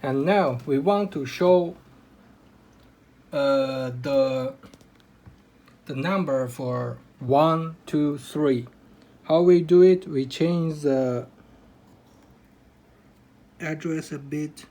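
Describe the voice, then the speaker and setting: Brief: young man, Kazakh native, hesitant non-native English, unhurried and slightly high. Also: mellow. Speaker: hobbyist electronics presenter. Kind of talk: hands-on electronics demo.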